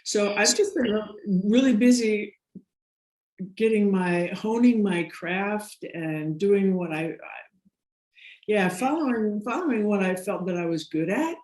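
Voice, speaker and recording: A woman's voice: mid-range voice, unhurried, a little mellow. A middle-aged American woman, a podcast guest, talking casually and rambling with frequent laughs and restarts. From United States. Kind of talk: casual interview storytelling. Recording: roomy echo.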